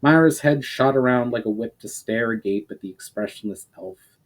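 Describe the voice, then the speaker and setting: Male narrator, medium pitch, dramatic audiobook reading, expressive, deliberate, fantasy storytelling. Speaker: author reading his own book.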